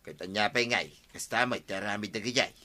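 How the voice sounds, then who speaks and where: A man's voice: lowish voice, brisk, bright, forceful tone. A man with an Ilocano accent playing a gossipy, familiar village buddy in a radio comedy-drama. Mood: chatty.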